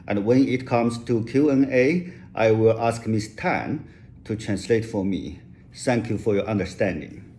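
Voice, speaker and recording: Slow, careful man. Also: mid-range voice, dark tone. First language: Chinese. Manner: slow, careful delivery with occasional restarts. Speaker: author giving a lecture. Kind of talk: rehearsed English lecture.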